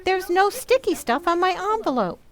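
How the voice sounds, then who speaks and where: A woman's voice: extremely high pitch, sing-song, normal speed. A woman playing a cheeky, innocent, plain-spoken young boy in an old-time radio comedy. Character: cheeky young boy, the Nelsons' little son.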